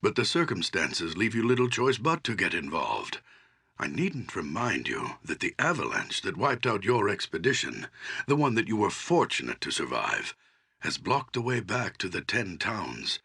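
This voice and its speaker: An actor playing a grave old archdruid in a fantasy video game, speaking solemnly and deliberately with a gravelly, husky voice. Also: male voice, sits low, huge pitch swings, bright, forceful tone, full character voice. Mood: urgent, earnest. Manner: solemn, deliberate, portentous storytelling.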